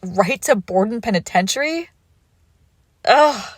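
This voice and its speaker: A woman playing a suave, controlled villainous ex-lover in an intimate audio roleplay, with measured formal phrasing and soft breathy pauses. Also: on the high side, animated, no echo, studio quality. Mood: dryly amused. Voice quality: creaky, often dropping into whisper. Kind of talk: scripted romantic audio roleplay, intimate and slow.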